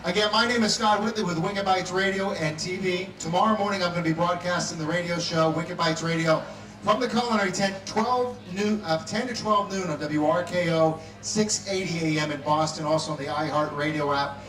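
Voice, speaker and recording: A man's voice: sits high, fairly quick, over music. Upbeat man hosting a festival stage. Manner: upbeat, promotional, announcer-like. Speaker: radio and TV food show host. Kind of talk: live event hosting. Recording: echoey PA sound from far off.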